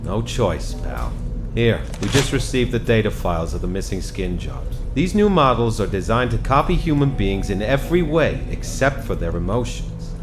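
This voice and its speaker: An actor playing a gruff, pushy police captain in his fifties, blunt and slangy, in a noir radio drama. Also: lowish voice, sing-song, normal speed, over music. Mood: matter-of-fact.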